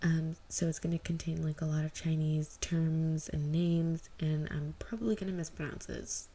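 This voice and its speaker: A woman's voice: low voice, steady tone, normal speed, mellow. A woman who is an amateur podfic reader, talking informally and narrating fan fiction.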